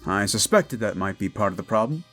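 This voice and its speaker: Man playing a confident, animated folklorist ghost hunter. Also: on the low side, brisk, slightly rough, soft background music. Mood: grave, explaining. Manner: explanatory, assured. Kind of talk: audio drama acting.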